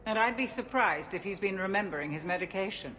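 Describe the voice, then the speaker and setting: A woman's voice: medium pitch, animated, quick pace, a bit bright, natural voice, low-quality recording. A woman playing a loyal, dryly witty ship's doctor in video game dialogue, polite in manner. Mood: loyal, wry.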